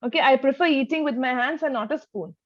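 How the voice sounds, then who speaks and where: A woman's voice: high-pitched, fast, no room sound, dull audio. A woman teaching a one-to-one speaking lesson as a tutor, instructive and demonstrating sentences with exaggerated intonation.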